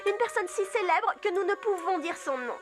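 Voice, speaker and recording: A very high-pitched, scheming teenage cartoon girl. Her delivery swings widely, self-important and theatrical, with music clearly playing behind her. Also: lively intonation, fairly quick. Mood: boastful. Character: boastful, scheming teenage girl rider at a ranch. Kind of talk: cartoon dubbing.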